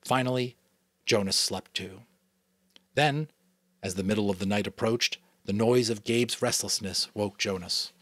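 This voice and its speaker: A man from New England reading a novel aloud as a father, in a dramatic, expressive narration style. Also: lowish voice, unhurried, no room sound, clean studio sound. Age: adult, a father. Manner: expressive, varied delivery. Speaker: audiobook reader.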